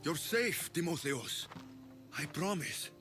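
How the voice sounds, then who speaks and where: A man acting a calm, protective ancient Greek mercenary in a video game, steady and reassuring in manner. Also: fairly high pitch, slow pace, over music. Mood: urgent reassurance.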